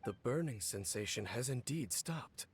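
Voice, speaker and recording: A man playing a stoic, weary knight captain in a video game, speaking solemnly with a restrained, measured, breathy delivery. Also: mid-range voice, lively intonation, heavy background noise, close to an everyday voice. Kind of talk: video game character acting.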